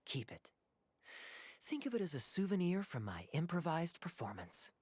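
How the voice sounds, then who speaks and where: An actor playing a suave young male adventurer in a video game, with a smooth, teasing, theatrical delivery. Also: on the high side, very animated, moderate pace, a little mellow, dry recording. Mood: warm, sincere.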